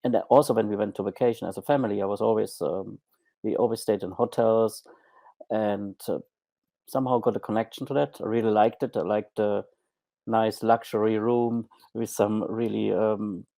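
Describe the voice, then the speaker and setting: A man's voice: on the low side, fairly even intonation, normal speed, vocal fry, warm tone, no echo. A middle-aged German man with a German accent, a podcast guest speaking hesitantly with many ums and restarts. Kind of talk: podcast interview.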